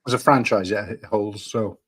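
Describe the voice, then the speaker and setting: A man's voice: lowish voice, steady tone, normal speed, husky. A man in his thirties with a Cardiff-area Welsh accent, chatting casually as a podcast guest, often hesitating and restarting. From Wales. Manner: hesitant, many ums and restarts. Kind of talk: casual chat.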